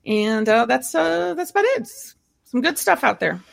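Woman podcast co-host from Ohio, fairly high voice with a gravelly edge, chatty. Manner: animated, chatty, quick asides. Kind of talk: casual podcast chat.

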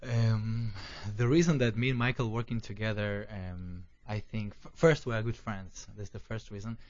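A man answering interview questions in a halting, earnest way. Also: lowish voice, fairly even intonation, darkish tone. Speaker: guest, artist's collaborator. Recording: dull lo-fi.

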